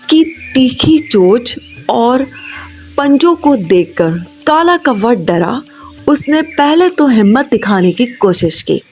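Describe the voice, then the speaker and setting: A woman's voice: fairly high pitch, a little mellow. A woman reading a scripted children's moral story as its narrator, with music behind her. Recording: music bed under the voice. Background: background music.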